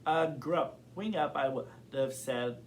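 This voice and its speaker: Slow-talking young man who stutters. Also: medium pitch, slight background noise. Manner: stutters, with many fillers and repeated words; thoughtful, open. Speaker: interviewee. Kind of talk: casual interview.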